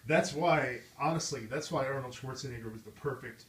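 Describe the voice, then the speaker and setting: A man's voice: medium pitch, brisk, crowd noise. A man hosting a casual show-and-tell, explaining things in an informal, conversational way. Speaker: host of a vintage radio showcase.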